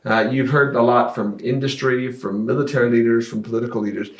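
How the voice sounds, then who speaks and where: A man's voice: mid-range voice, steady tone, fairly quick. Chatty male host in an echoey hall. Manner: friendly, chatty questions with "kinda". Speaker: interviewer and host. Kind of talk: hosting a conference conversation. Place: conference venue in Australia.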